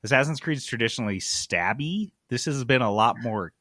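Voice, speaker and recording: A man hosting a casual gaming podcast, chatting in an excitable, sweary way. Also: mid-range voice, normal speed, dry recording, studio quality. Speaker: podcast host. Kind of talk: casual, animated gaming chat.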